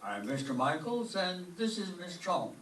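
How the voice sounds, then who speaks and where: An actor playing a stuffy, incredulous school official with a formal manner, in an old-time radio comedy. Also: male voice, sits high, big pitch swings, normal speed, a bit bright, far from the mic. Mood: courteous, assured. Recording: roomy stage, off-mic, faint noise.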